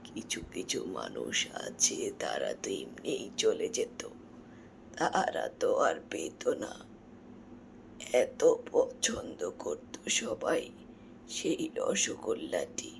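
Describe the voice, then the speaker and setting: A woman voicing a doting old village grandmother in a cartoon, with a low creaky voice and slow, drawn-out storytelling. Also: wildly swooping pitch, whispered, heavily acted. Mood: nostalgic, slow. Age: older. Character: slow, doting old granny who makes magical sweets.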